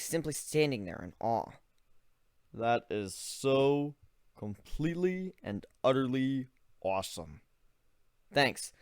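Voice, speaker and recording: A man's voice: no echo, studio quality. Man reading a dramatic story aloud. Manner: measured, drops low and quiet on tense moments. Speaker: narrator.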